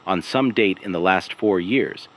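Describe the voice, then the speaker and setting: A man narrates a scripted investigative mystery podcast, speaking in a measured, grave way with deliberate pauses. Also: low voice. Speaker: host of an investigative audio series.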